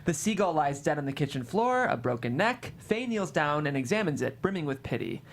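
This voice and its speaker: Lively, expressive screenplay narrator. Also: medium pitch, a little fast. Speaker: host and stage-direction narrator. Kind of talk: scripted narration of a screenplay table read. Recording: faint background room noise.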